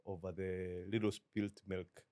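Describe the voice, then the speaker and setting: A middle-aged Kenyan man with a Kenyan accent, a business guest talking in a measured, reflective way and slipping into Swahili. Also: deep voice, level tone, a little mellow, smooth, no room sound. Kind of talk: relaxed interview talk.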